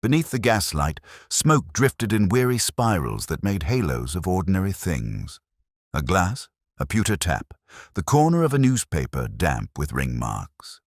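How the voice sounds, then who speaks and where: A man with an extremely low voice reads first-person detective fiction as a pensive, world-weary inspector. His delivery is measured and melancholy, rising and falling expressively, in a dry, close recording. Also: very animated, dry recording. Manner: measured, reflective, melancholy storytelling. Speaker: narrator of a detective audio story. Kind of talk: literary first-person scripted narration.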